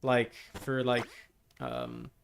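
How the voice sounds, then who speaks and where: A man's voice: medium pitch, very animated, very slow, creaky. Male game streamer chatting over background noise. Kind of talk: casual live-stream chat while gaming.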